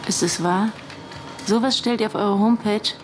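An actress playing a curt, sharp-tongued young businesswoman in a naturalistic radio drama. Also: medium pitch, normal speed, slight background noise, natural voice. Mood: sharp, impatient.

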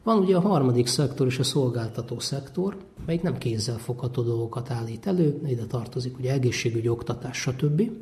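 A man explains a subject in an interview, measured and careful to list and qualify. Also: medium pitch, expressive, a little mellow. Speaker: interviewed academic expert.

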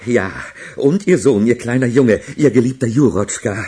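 A sinister, demanding man played by an actor in a radio crime play. His husky voice sits in a middle register. He speaks with cold command. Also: medium pitch, moderate pace, mellow, close to an everyday voice. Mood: cold, insistent. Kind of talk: German radio crime drama acting.

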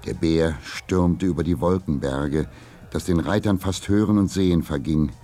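A man narrating a children's radio play as a calm storyteller, reading a scripted adventure tale. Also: sits low, moderate pace, soft background music, close to an everyday voice. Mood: calm, vivid storytelling.

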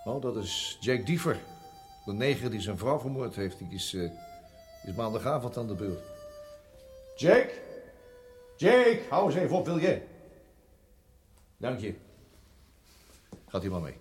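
An actor playing an awkward, kindly prison guard in a radio drama, stumbling hesitantly over delicate words. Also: male voice, medium pitch, very animated, unhurried, long pauses, faint music behind. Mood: calling out. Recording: noisy old radio play recording.

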